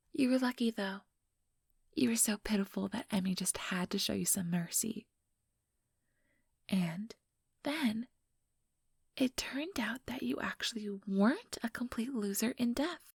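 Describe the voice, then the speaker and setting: A woman's voice: mid-range voice, dry recording, full character voice. A woman playing an ambitious, coldly menacing female vampire in a whispered ASMR role-play, talking in a taunting, mock-sweet way close to the microphone. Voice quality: soft, breathy whisper. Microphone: close, dry microphone.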